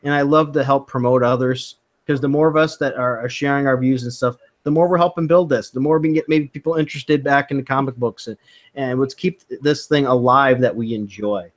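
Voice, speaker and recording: Male host, mid-pitched, chatty and easygoing, remote call audio. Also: fairly even intonation, a little fast. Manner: easygoing, talkative, encouraging. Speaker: host of a comics chat stream. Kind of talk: casual online discussion.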